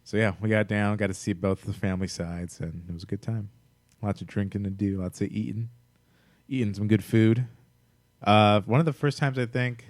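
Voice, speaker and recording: A young married man co-hosting a podcast, relaxed and laid-back, swearing casually in easy chat. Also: lowish voice, moderate pace, no room sound. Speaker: podcast co-host.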